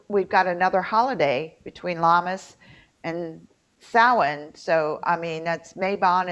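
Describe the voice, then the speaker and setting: A middle-aged American woman with a twang, chatting casually and jokingly as a folksy co-host of a talk show. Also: lowish voice. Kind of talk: casual chat. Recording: dull lo-fi sound.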